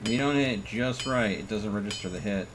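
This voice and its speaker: A man's voice: fairly low pitch, a little fast. A man streaming a game with casual commentary, muttering to himself as he plays. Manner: muttering to himself while playing. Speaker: live streamer. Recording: clearly noisy background.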